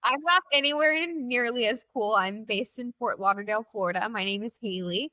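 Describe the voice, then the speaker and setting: A woman's voice: a little high, a little fast, bright, forceful tone, dry recording. A 22-year-old woman, a podcast guest on a video call, laughing easily and a bit self-deprecating. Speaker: podcast guest, rental entrepreneur. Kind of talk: casual interview chat.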